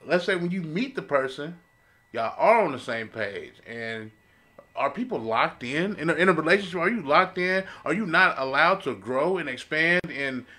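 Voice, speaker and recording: A man's voice: on the high side, expressive, normal speed, sharp tone. A man chatting casually in a podcast discussion, conversational and questioning. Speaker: podcast panelist.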